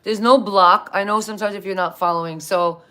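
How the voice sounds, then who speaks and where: A woman's voice: medium pitch, fairly even intonation, fairly quick, pushed and bright, reverberant. A woman hosting a casual live-stream interview, chatty and rambling, apologizing and laughing often. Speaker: host. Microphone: live-stream video call.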